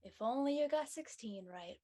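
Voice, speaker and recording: A woman's voice: high voice, animated, normal speed, dark tone. A woman playing a supportive, slightly worried teenage student with casual slang in a scripted drama. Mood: mild, concerned.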